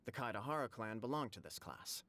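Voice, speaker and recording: Courteous, fast-spoken government official. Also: male voice, medium pitch, sing-song, pushed and bright. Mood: courteous, formal. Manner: formal, measured diction, stately wording. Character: courteous, formal male government official in fantasy Japan. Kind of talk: scripted video game dialogue.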